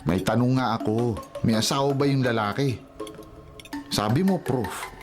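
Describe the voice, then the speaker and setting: A man's voice: mid-range voice, a little slow, music in the background, lightly acted. A man playing a bemused, protective older brother in a Filipino radio romantic comedy, questioning and incredulous. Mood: puzzled, concerned.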